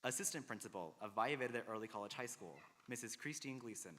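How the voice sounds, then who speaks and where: A man's voice: mid-range voice, lively intonation, quick pace, pushed and bright, a faint crowd. A man making formal announcements and introductions as the announcer at a ceremony. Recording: noisy, echoing hall PA.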